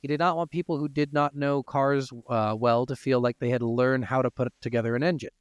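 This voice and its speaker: A man's voice: mid-range voice, fairly even intonation, fairly quick, smooth, no room sound, highs cut off. A man hosting a casual gaming podcast, moving between informal, sweary chat and reading from notes. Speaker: podcast host.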